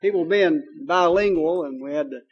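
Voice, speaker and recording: A man's voice: on the high side, normal speed, husky, lo-fi sound. A man with a Kentucky accent preaching a sermon in a folksy, joking way, with many fillers. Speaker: pastor.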